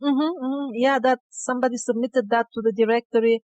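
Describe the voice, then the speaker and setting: A woman's voice: fairly high pitch, fairly even intonation, brisk, a little mellow, highs cut off. A woman answering questions as an interview guest, conversational and sometimes searching for words.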